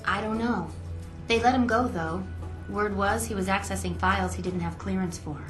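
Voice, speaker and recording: Female game role, actress: concerned, inquisitive ally, middle-pitched, earnest delivery, roomy with music behind. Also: normal speed, lightly acted. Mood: defensive. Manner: earnest, questioning. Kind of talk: video game cutscene dialogue.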